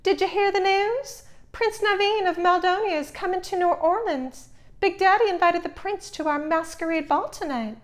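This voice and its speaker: A woman voicing an excitable, gushing young belle in a storybook reading. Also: very high voice, moderate pace, voice pushed up. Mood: thrilled, gushing.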